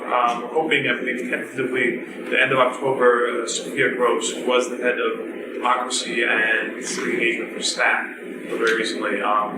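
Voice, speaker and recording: A man hosting an event, making closing announcements with a brisk delivery and fillers. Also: medium pitch, very noisy. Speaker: event host. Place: large room with an audience. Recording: echoey room, distant mic, loud crowd. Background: loud crowd chatter.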